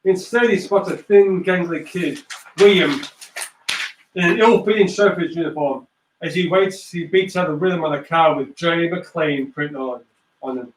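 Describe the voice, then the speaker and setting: A man's voice: a little high, unhurried, slight background noise. A man narrating a script aloud, reading out stage directions. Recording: echoey room, distant mic.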